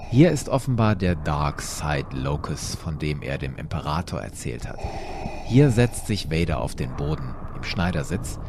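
A man's voice: low-pitched, background noise. A man narrating a scripted story dramatically, as a host retelling it for listeners.

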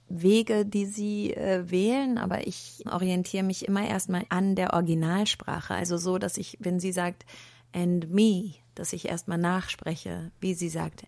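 A woman voice actress being interviewed, speaking in a lively, friendly way and laughing often. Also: on the low side, gravelly, no room sound. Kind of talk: casual interview chat.

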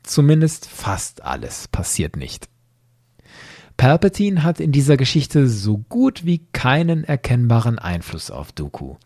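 A man narrating a scripted podcast commentary, explaining with deliberate emphasis. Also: mid-range voice, wildly swooping pitch, gravelly, darkish tone, no room sound, clean studio sound. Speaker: podcast host.

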